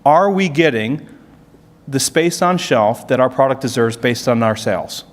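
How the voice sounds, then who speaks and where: A man's voice: mid-range voice, unhurried. A man giving an explanatory business conference presentation, posing questions and then answering them as a corporate presenter. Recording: reverberant hall sound.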